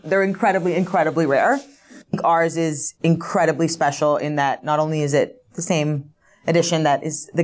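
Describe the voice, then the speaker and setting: A woman's voice: deep voice, darkish tone. A woman speaking as an auction house specialist in an interview, describing artworks with enthusiasm and plenty of "you know" and "like". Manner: enthusiastic, filler-heavy, lots of "you know" and "like".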